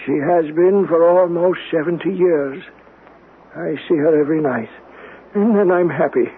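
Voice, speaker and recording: An actor playing a stubborn, haunted old uncle, crusty, halting and dismissive yet fond, in a radio drama. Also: male voice, on the high side, close to an everyday voice. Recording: tinny noisy old radio broadcast.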